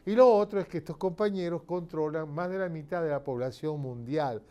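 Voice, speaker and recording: Higher-pitched Venezuelan man lecturing. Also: brisk, warm tone, clean tone. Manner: emphatic, didactic, rhetorical questions and check-ins. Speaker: political commentator giving a lecture. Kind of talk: informal explanatory lecture on camera.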